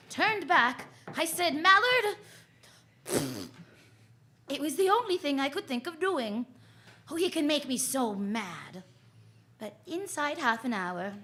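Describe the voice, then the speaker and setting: A woman's voice: high voice, bright, forceful tone, full character voice. A woman playing a wry, flirtatious, self-assured private eye in the style of 1940s radio drama, full of slangy quips. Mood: exasperated. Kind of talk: 1940s radio drama acting with first-person narration.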